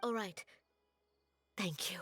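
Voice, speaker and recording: A woman playing an anxious, pleading mother in a video game, with a breathy, husky voice. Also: mid-range voice, slow pace, fairly bright tone, faint music behind. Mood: grateful. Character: worried, pleading mother of a sick boy.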